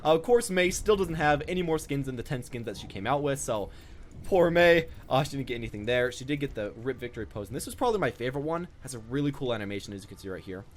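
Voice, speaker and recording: A man's voice: mid-range voice, lively intonation, quick pace, a little hiss or hum. A young man giving casual, rambling off-the-cuff commentary as a gaming video host.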